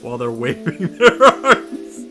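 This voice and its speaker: A man's voice: mid-range voice, very animated, takes it slowly, pushed and bright. A man hosting a casual game recap, joking along with wry, playful asides. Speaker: visual novel reaction and summary host. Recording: music under the commentary. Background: game music.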